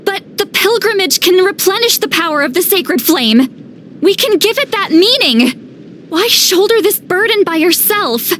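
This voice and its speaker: A woman's voice: extremely high pitch, expressive, a little slow, a bit bright, a little hiss or hum, exaggerated voice. An actress playing an earnest young female guide in a video game. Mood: pleading. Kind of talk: video game character acting.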